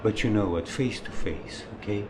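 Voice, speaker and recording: Low-voiced older man acted, noisy recording. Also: moderate pace, lightly acted. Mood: earnest, pleading. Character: wounded, jealous, pleading older man in love. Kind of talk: naturalistic film drama dialogue.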